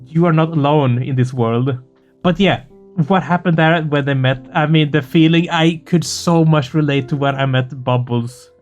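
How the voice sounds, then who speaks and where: Effusive gaming video host over music. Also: medium pitch. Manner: effusive, swearing, earnest. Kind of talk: casual excited chat after a game playthrough.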